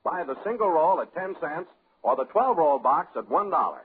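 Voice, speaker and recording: A man's voice: on the high side, sing-song, normal speed, slight background noise. A man reading a scripted 1950s radio commercial as announcer, with an emphatic, salesmanlike delivery. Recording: muffled, narrow old radio recording.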